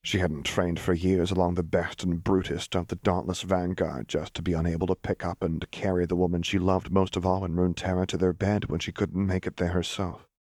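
A man's voice: low voice, level tone, fairly quick, few pauses, darkish tone, dry recording, clean studio sound. A man reading a dramatic story aloud as scripted audiobook narration. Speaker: audiobook reader.

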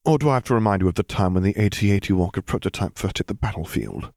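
A man voicing a prim, unflappable naval captain with sly wit in an audiobook, measured and understated. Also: low voice, lively intonation, gravelly, darkish tone, no room sound, studio quality.

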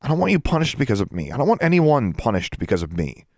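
Man voicing an earnest schoolgirl, lively and raised. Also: mid-range voice, quick pace, no room sound, clean studio sound, pitched above the performer's own voice. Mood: resigned, firm. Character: determined schoolgirl, earnest, impulsive, caring. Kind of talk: audiobook character voice.

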